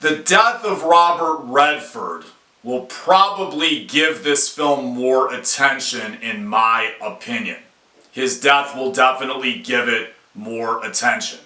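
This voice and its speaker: An American man giving deliberate, opinionated commentary as the host of an independent video. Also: mid-range voice, sharp tone. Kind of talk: opinion commentary to camera, outlined talk. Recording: echoey room.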